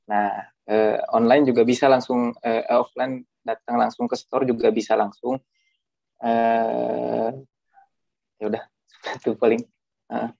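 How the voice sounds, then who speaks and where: Gravelly, mellow man. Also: medium pitch, little pitch movement, slow, pauses often, no echo. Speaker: food business owner, podcast guest. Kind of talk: casual chat. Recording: dull, lo-fi, dry call audio.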